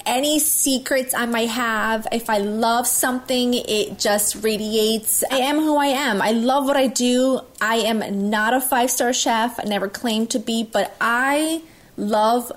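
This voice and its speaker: A woman in her thirties from Italy, telling her story as a guest in an interview, loud, outspoken and enthusiastic. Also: on the high side. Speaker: guest, cooking video host.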